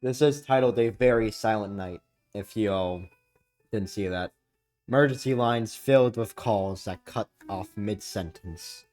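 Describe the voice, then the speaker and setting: Male reaction host, low register, casual asides between caption reading, music underneath. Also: unhurried, darkish tone. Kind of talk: reading on-screen captions aloud with casual commentary.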